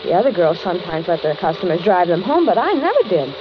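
A woman's voice: lowish voice, sing-song, quick pace. An actress playing a polite, trusting young American carhop in a 1940s radio suspense play, hesitant and confiding as she grows uneasy. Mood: hesitant recounting. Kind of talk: 1940s radio suspense acting, narrated in first person. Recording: crackly, muffled 1940s radio recording.

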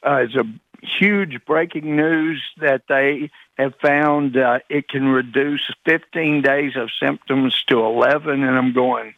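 A man from East Texas, slightly raspy, chatting in a folksy way full of fillers as a guest. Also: medium pitch, fairly even intonation, measured pace, no echo. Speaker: congressman guest. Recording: dull lo-fi line.

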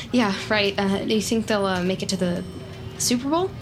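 An actress playing an awkward, eager-to-please office analyst in a comedic audio drama, hesitant and nervously over-polite. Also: female voice, medium pitch, moderate pace, slight background noise, close to an everyday voice, rough recording. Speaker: actor in a scripted audio drama.

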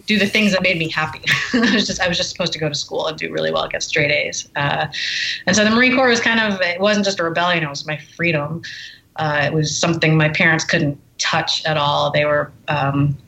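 A woman from the United States talks through her past as a podcast guest. Her voice sits low with a gravelly edge and a bright, sharp tone. She speaks candidly and reflectively, restarting sentences as she thinks. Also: brisk, slight background noise, low-quality recording. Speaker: guest, memoirist and Marine veteran. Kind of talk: podcast interview.

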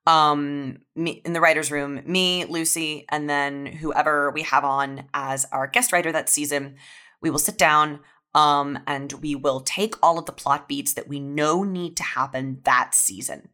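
Woman with a low but bright voice, podcast guest, chatty, explains stuff step by step. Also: steady tone, measured pace. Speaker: audio fiction writer, podcast guest. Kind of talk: casual panel chat, explaining own process.

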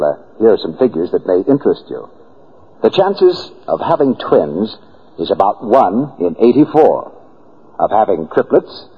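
A male announcer from 1940s radio, reading scripted copy. His voice is low and slightly rough, with animated intonation, on a dull old broadcast recording.

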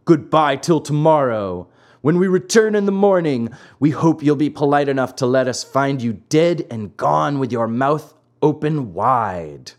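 A man's voice: medium pitch, very animated, slow. A man voicing a storybook assassin with a growling, threatening and taunting manner. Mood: mocking. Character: menacing, mocking male assassin.

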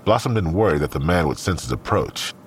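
A man's voice: sits low, lively intonation, brisk, a little hiss or hum. A man narrating a scripted audio drama. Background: music.

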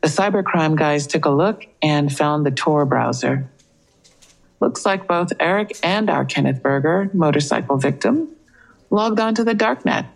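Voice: medium pitch, lively intonation, measured pace, gravelly, low-quality recording. An actor playing a matter-of-fact, knowing police investigator in an audio crime drama.

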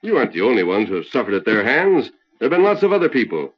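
Masked cowboy hero, firm and formal, very animated pitch, muffled old radio sound. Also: male voice, fast, breathy edge, faint music behind. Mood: firm, defiant. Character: resolute masked cowboy hero, calm, commanding and principled. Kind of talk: old-time radio western acting.

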